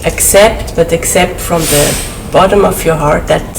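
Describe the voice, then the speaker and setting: A woman's voice: fairly low pitch, unhurried, vocal fry, roomy sound. A woman of Hungarian roots talking earnestly at home, often searching for words. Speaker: family host. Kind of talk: casual reflective conversation. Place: home in a city. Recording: distant mic with noticeable noise.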